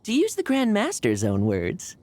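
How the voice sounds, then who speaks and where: A woman's voice: medium pitch, wildly swooping pitch, a little slow, dark tone. A woman voicing a brash, cheerful, battle-eager young male knight in a video game, breezy and informal. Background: game music.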